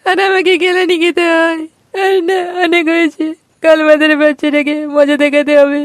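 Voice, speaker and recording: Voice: high-pitched, monotone, normal speed, natural voice. A performer voicing a shameless, aggrieved freeloading neighbour in a comic cartoon, brazen and combative. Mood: aggrieved, angry. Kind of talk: exaggerated comic cartoon dialogue.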